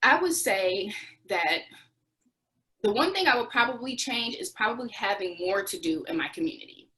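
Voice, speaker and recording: Expressive young American woman telling stories. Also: mid-range voice, normal speed, rough recording. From United States. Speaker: interview guest, former Peace Corps volunteer. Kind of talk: conversational interview storytelling.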